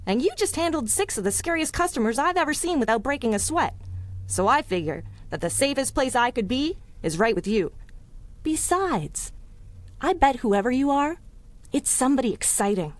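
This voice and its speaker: An actress playing a plucky, stubborn, warm-hearted young city woman in a 1930s pulp radio drama, with spirited, slangy old-time talk. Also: high voice, big pitch swings, moderate pace. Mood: bold, insistent.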